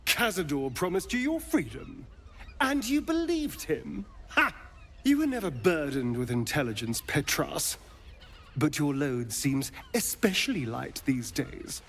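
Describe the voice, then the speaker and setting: A sardonic vampire rogue in a fantasy game. His voice sits fairly high and swoops theatrically, unhurried and sneering, over faint background noise. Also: sing-song, fairly bright tone, heavily acted. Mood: mocking. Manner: arch, sneering, dramatic. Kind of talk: dramatic video game voice acting.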